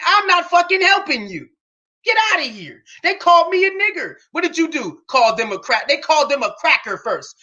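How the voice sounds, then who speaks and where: A man hosting a reaction show, commenting on a podcast clip with indignant rhetorical questions and bursts of shouting. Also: extremely high pitch, dull audio.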